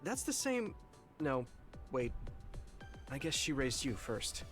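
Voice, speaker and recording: A boyish young male game hero, earnest and friendly, in a mid-range voice. He speaks sincerely and eagerly, quick to apologize. Music sits behind him. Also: medium pitch, sing-song, fairly bright tone. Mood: cheerful, teasing. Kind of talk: English video game cutscene voice acting. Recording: game audio with background music.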